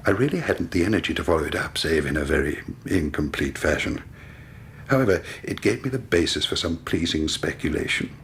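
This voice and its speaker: An actor playing a genial, indolent and superior British gentleman sleuth in a period radio drama, urbane and self-assured. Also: sits low, expressive, a little fast, slight background noise. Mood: leisurely, assured.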